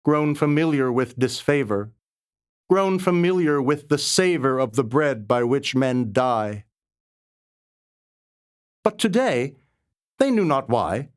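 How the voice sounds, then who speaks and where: A man with a slightly high-pitched voice reads verse aloud at a very slow pace. His delivery is dramatic and reverent, each phrase set apart with deliberate pauses. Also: clear, resonant tone. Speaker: poetry reader. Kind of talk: dramatic, measured poetry recitation.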